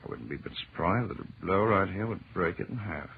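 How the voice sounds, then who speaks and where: An actor playing an arrogant, impatient science professor in an old-time radio drama, lecturing and dismissive. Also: male voice, sits low, expressive, quick pace, narrow, tinny audio. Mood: absorbed. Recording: noisy old radio recording.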